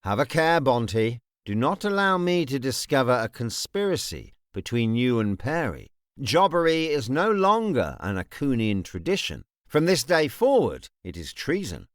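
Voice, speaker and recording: A man's voice: medium pitch, sing-song, moderate pace, no room sound, clean studio sound, natural voice. Man playing a commanding, shrewd lord, swooping delivery. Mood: stern warning. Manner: formal, authoritative. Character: commanding, shrewd male lord giving stern orders. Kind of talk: audiobook character acting.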